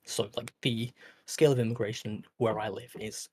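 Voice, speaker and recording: A man's voice: on the low side, fairly even intonation, normal speed, a little mellow, breathy edge. A tired man talking late at night as a guest in a casual online debate, hesitant and full of fillers. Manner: hesitant, filler-heavy, restarts often.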